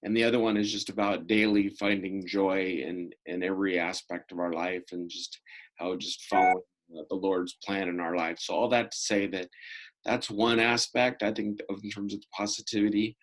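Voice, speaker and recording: A middle-aged man speaks in a fairly low voice as an interview guest. He explains things in a measured way, often pausing mid-thought before carrying on. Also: level tone, fairly bright tone, poor audio. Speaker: interview guest, school prevention programs coordinator. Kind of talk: conversational interview answers.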